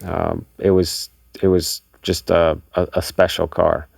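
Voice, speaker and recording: A middle-aged man from Bulgaria, a guest telling personal stories casually in an unhurried, halting, reflective way. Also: deep voice, little pitch movement, vocal fry, darkish tone, no echo, clean studio sound. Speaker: podcast guest, car enthusiast. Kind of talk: casual interview storytelling.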